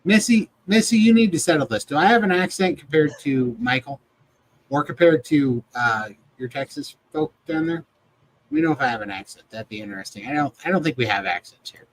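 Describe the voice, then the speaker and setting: A man's voice: fairly high pitch, brisk, poor audio. A man hosting a casual livestream chat over a video call. He jokes often and laughs easily. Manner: jokey, laughs often, chatty. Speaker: livestream host.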